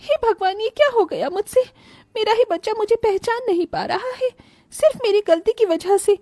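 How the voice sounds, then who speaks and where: A woman playing a selfish, vain, irritable young mother in an animated moral story, with a whiny, dramatic delivery. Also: very high voice, normal speed, dry recording. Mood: remorseful.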